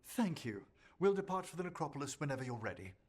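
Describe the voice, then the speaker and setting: An older gentleman necromancer for a fantasy game, erudite and formal. His pitch sits fairly high and moves expressively, with a slightly husky edge and some music behind. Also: big pitch swings, fast talker. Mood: earnest. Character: courteous scholarly older male necromancer professor. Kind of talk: video game voice acting.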